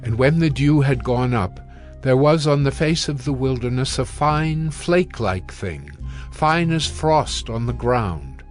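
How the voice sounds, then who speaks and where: A man with a medium-pitched voice narrates scripture dramatically. He reads in a solemn, measured way, with faint music beneath him. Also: animated.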